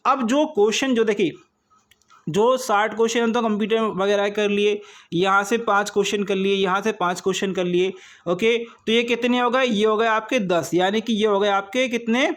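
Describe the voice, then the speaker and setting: A man's voice: high voice, fairly even intonation, moderate pace, fairly bright tone. A man giving an informal exam-prep lesson, repeating points and checking in with short tags and rhetorical questions. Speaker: exam coaching teacher.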